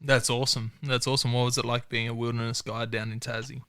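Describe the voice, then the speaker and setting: A man's voice: mid-range voice, flat delivery, fast, fairly bright tone, clear, resonant tone, no echo, clean studio sound. A man with an Australian accent hosting a podcast interview, upbeat, friendly and enthusiastic. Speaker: podcast host. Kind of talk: casual interview chat.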